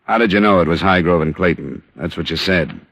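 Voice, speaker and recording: An actor playing a tough, dogged insurance investigator in 1950s radio drama style, clipped, deliberate and wry. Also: male voice, sits low, gravelly, fairly bright tone, slightly rough, no room sound, full character voice. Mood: hard, pressing. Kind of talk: 1950s radio drama acting, first-person crime narration. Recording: dull old radio recording with music.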